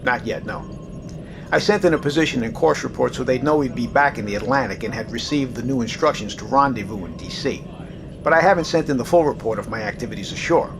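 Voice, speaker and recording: A male actor playing a composed, businesslike naval commanding officer with a measured, authoritative manner in an audio drama. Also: mid-range voice, lightly acted. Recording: clear background noise, ambience.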